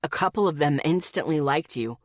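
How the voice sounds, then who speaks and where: A woman putting on a husky grumbling young man. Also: animated, fairly quick, no echo, clean studio sound. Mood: teasing. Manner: grumbling, low and teasing. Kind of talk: audiobook character voice.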